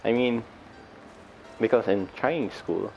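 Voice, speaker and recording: A man's voice: medium pitch, measured pace, vocal fry, highs cut off, faint music behind. A man from the Philippines giving casual live commentary as he streams a game. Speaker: game livestreamer.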